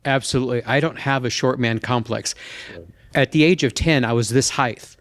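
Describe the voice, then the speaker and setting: Older guy dating show contestant, mid pitch, talks earnest and measured, kinda explains himself. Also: fairly bright tone.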